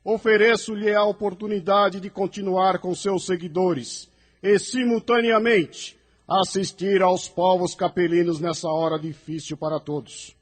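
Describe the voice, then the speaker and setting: A man's voice: high voice, fairly even intonation, a little slow. A man playing a solemn, benevolent spirit emissary in an audio drama, speaking in a formal, exhorting way. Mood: grave appeal.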